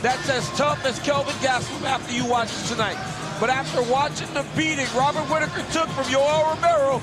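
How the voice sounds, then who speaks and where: Really high-voiced commentator dude, earnest analysis, big crowd and music behind. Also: male voice, steady tone, a little fast, poor audio. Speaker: color commentator. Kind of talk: broadcast sports commentary. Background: loud music and crowd.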